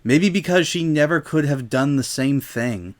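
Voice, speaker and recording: A man's voice: fairly high pitch, moderate pace. A man narrating a story as a dramatic reading, in scripted prose.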